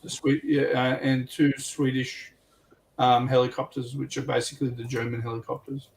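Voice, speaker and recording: A man co-hosting a casual livestream, talking in a halting, thinking-aloud way. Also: mid-range voice, level tone, gravelly, far from the mic, slight background noise, rough recording. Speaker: livestream co-host. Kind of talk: casual hobby chat.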